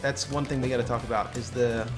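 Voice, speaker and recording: A man's voice: medium pitch, fairly even intonation, quick pace. A man giving casual, explanatory game commentary as a host guiding another player. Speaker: gameplay host and guide. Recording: game music behind.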